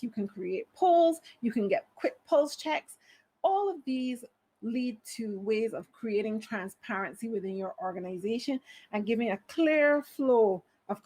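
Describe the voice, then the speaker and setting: A woman's voice: medium pitch, a little mellow. A woman with a Barbadian accent giving an online conference talk on HR, earnest and emphatic, often addressing her audience directly. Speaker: HR speaker.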